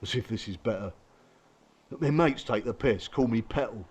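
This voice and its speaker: An actor playing a blunt, colloquial, reassuring working-class footballer in an audio drama. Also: male voice, mid-range voice, normal speed, darkish tone, husky, close to an everyday voice. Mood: blunt, rueful. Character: blunt, supportive working-class footballer, male abuse survivor.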